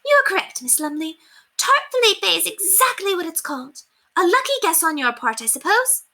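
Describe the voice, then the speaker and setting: A woman's voice: very high-pitched, very animated, moderate pace, bright, forceful tone, voice pushed up, exaggerated voice. A woman voicing a haughty, melodramatic upper-class lady from a storybook, theatrical and gushing. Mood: excited babble. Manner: theatrical, gushing, indignant exclamations. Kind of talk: storybook character voice read aloud.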